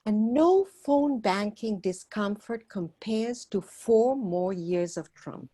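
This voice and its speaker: A woman from Argentina, a volunteer organizer, giving an earnest prepared talk at a meeting and pausing often on fillers. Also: medium pitch, lively intonation, mellow, breathy edge. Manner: earnest, persuasive, with frequent filler pauses.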